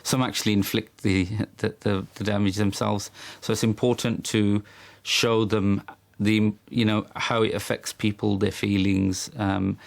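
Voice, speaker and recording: A man, a teacher speaking earnestly in an interview, halting with fillers. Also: fairly low pitch, little pitch movement, creaky. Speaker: madrasa teacher. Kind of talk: documentary interview. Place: library room with bookshelves.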